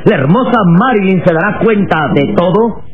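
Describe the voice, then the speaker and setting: An actor as the suspenseful, declamatory narrator of an adventure radio serial. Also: male voice, sits high, animated, moderate pace. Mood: grand, suspenseful. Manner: dramatic, declamatory. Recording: tinny old radio recording, loud music.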